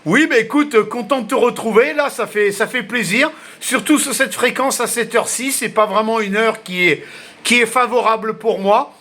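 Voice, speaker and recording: A man's voice: extremely high pitch, normal speed, pushed and bright. A man chatting casually as an amateur radio operator, talkative and often repeating himself. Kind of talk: casual ham radio contact, unscripted.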